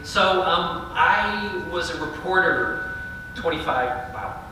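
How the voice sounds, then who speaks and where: A man's voice: on the high side, lively intonation, slow, noisy background. A man giving a conversational public lecture with a big, projecting voice. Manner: conversational, loud, projects his voice. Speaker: guest speaker. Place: small event room. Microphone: handheld mic held away, then off. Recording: echoey room from far off.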